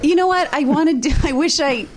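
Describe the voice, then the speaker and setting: A woman guest on a podcast with a loud voice, joking and firing back quick comebacks in casual chat. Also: high-pitched, slight background noise.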